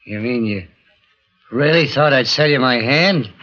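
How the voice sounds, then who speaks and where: An actor playing a rough, unsuspecting American working man in a 1940s radio drama, slangy and plain-spoken. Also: mid-range voice, measured pace, fairly bright tone, soft background music, close to an everyday voice. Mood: alarmed. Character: rough, unsuspecting working-class male hospital acquaintance. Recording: dull, lo-fi vintage radio recording.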